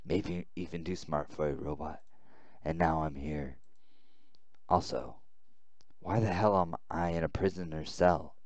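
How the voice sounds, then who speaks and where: A man reading a visual novel aloud as a weary, confused young male prisoner, subdued and halting. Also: a bass voice, a little mellow, no echo, lo-fi sound, pitched below the performer's own voice.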